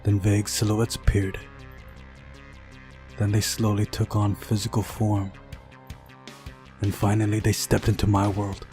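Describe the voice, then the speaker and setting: A man's voice: fairly low pitch, completely flat delivery, unhurried, long pauses, a little mellow, soft background music, lightly acted. A man voicing a mysterious, unhinged young camp pioneer in a visual novel, with a taunting, theatrical manner. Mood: intense, unhinged.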